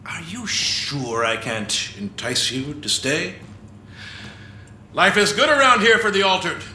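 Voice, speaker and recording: A man's voice: a little high, animated, measured pace, sharp tone, heavily acted. A man playing a flamboyant, gloating warlord in a video game, with a theatrical, teasing, grandiose manner. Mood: gloating, seductive. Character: flamboyant, gloating male warlord tempting an ally. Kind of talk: video game cutscene acting. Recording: echoey space with faint background noise.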